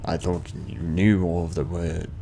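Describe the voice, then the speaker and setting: A man's voice: sits low, flat delivery, unhurried, vocal fry, dark tone, soft background music. A man giving casual, playful and sarcastic commentary while playing a video game. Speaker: gaming video host.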